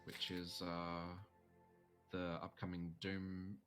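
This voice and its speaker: A young Australian man chatting casually while streaming a game, relaxed and laughing easily, with frequent ums. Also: low-pitched, takes it slowly, over music. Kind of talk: casual chat while gaming.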